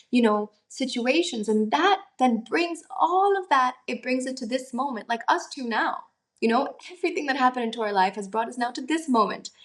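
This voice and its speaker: A young adult woman, an actress and singer, talking earnestly in a conversational interview, musing aloud with lots of fillers. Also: fairly high pitch.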